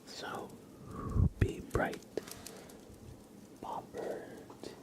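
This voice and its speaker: A man speaking in a soft, breathy whisper, chatting casually and unhurriedly as an ASMR livestream host. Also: extremely slow.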